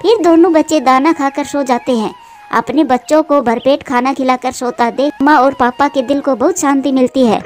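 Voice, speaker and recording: A woman with a high voice narrates a scripted cartoon story for children. She works as the storyteller between the characters' lines. Music runs behind her. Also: steady tone, moderate pace.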